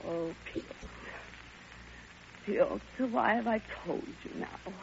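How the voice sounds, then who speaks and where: Old radio drama: lovelorn older woman, impassioned and halting, very unhurried, muffled vintage recording with music. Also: mid-range voice, vocal fry, slight background noise. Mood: grieving longing. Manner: impassioned, theatrical, halting delivery. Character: grieving, lovelorn old woman pouring out her heart. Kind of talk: classic radio drama monologue.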